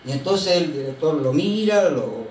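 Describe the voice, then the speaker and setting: High-voiced older pastor, distant and roomy. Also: male voice, lively intonation, a little mellow, slight background noise. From Chile. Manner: unhurried anecdotal storytelling with frequent hesitations. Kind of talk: sermon. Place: church sanctuary. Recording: roomy church sound from a distant mic.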